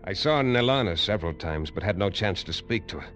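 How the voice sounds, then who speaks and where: A man's voice: low-pitched, lively intonation, brisk, a bit bright. A man narrating a 1950s adventure radio drama in the first person, with tense, hard-boiled delivery. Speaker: lead actor and narrator. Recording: dull old radio recording, faint music.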